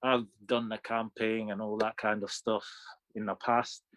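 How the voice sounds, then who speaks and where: Lowish-voiced man in his thirties, dry recording. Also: level tone, a little slow, a bit bright, no echo. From Sudan, moved to the UK when young. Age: 30s. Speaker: interview guest, sneaker collector. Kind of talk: casual conversational storytelling.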